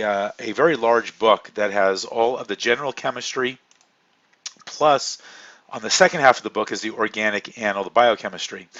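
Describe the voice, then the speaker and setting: A man with a hoarse voice from a cold, a chemistry instructor giving a relaxed, rambling course introduction full of fillers. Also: fairly low pitch, highs cut off.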